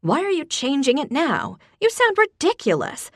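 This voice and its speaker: A woman's voice: sits high, huge pitch swings, normal speed, a bit bright, dry recording, pitched above the performer's own voice, heavily acted. Stern old grandmother with steel in her voice, as a woman puts her on, dry close read. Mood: insistent. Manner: firm, insistent, indignant. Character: elderly grandmother, stern and fussy.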